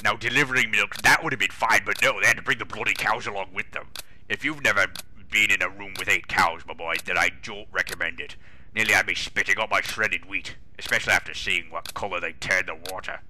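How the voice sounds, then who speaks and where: A man's voice: on the low side, steady tone, brisk, sharp tone, rough recording. A man voicing a pompous, exasperated older railway boss with a British accent, grumbling indignantly in a comic dramatic reading.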